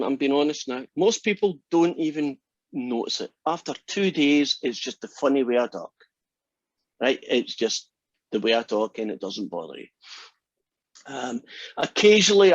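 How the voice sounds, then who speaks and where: A man's voice: a little high, no room sound. An older Scottish man in his sixties, a math instructor opening his class in a joking, teasing way. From Scotland. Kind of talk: class introduction. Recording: slightly dull lo-fi sound.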